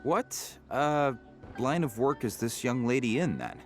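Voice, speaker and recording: A man plays a nosy, sly game character. His mid-pitched voice moves in lively swoops, suave and inquisitive as he sizes people up. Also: a little slow, soft background music, lightly acted. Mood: curious, sly. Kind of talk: video game character acting.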